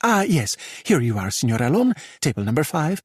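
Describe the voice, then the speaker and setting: A man's voice: mid-range voice, very animated, brisk, a little mellow, husky, dry recording, studio quality, voice pushed up. A man voicing a polite, smiling restaurant hostess in an audiobook. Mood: welcoming.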